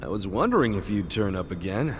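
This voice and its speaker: A man acting a brash, cheeky, excitable street hero in an English video game dub, talking in a blunt, slangy way. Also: lowish voice, a little fast, slight background noise. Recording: music behind the voice.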